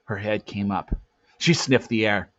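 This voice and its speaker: A man reading a storybook aloud as narrator, with dramatic, suspenseful swells and hushed drops. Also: on the low side, a little slow. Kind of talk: expressive storybook read-aloud. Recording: dull lo-fi, roomy echo.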